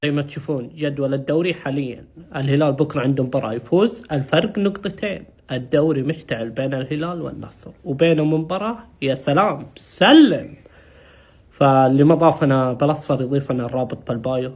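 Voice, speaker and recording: A man's voice: mid-range voice, quick pace, a little mellow, breathy edge. A man reacting live to a football match, voice strained from shrieking and chanting. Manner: shrieking, chanting, laughing between outbursts.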